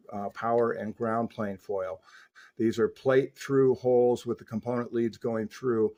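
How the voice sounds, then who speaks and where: A man with a mid-pitched voice gives an explanatory technical voice-over. He speaks like a hands-on repairer, stressing his points firmly and using folksy turns of phrase. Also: darkish tone. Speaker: radio repair technician and reviewer.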